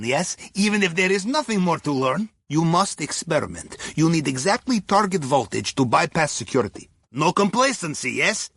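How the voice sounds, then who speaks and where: A man's voice: high-pitched, sharp tone, slightly rough, no room sound, studio quality, exaggerated voice. An actor playing a wry, upbeat Russian submarine helmsman in a video game, with a Russian accent and chummy, clipped broken English. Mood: amused, enthusiastic, then businesslike, confident. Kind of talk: scripted game dialogue, mission briefing.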